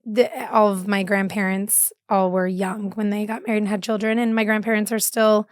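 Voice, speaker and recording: A woman in her thirties from San Diego, an easygoing podcast guest who fills pauses with "yeah". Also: medium pitch, level tone, no echo, studio quality. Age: 30s. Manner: easygoing, many fillers and yeahs. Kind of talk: casual interview chat.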